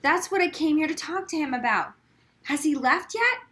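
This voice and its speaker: A woman's voice: sits high, expressive, moderate pace, fairly bright tone, voice pushed up. A woman voicing an earnest, flustered, brainy schoolgirl who pleads her case in a storybook reading. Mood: eager.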